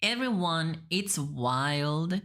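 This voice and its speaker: A woman's voice: low-pitched, expressive, extremely slow, fairly bright tone. A woman from Spain, a native Spanish speaker with a Spanish accent, giving chatty, teasing reaction commentary as a streamer. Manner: chatty, teasing, laughs often. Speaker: VTuber streamer.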